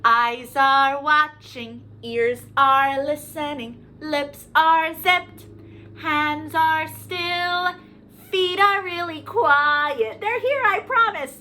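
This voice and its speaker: A woman hosting story time for young children, animated and sing-song, talking to them with questions and encouragement. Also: high voice, extremely slow. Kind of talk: children's storytime read-aloud with singing and asides.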